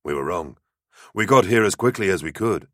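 A man's voice: deep voice, animated, moderate pace, fairly bright tone, no echo, studio quality. A man voicing a wry older warrior medic who pointedly needles his sergeant, in an audiobook story. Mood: dry.

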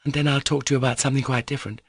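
An excitable, imperious teenage girl from a classic novel, acted out by a male audiobook reader. The voice sits high and sounds urgent and demanding, with exclamations and orders. It is recorded close and dry. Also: racing, husky, no echo. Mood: bossy, scolding. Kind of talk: dramatised audiobook reading.

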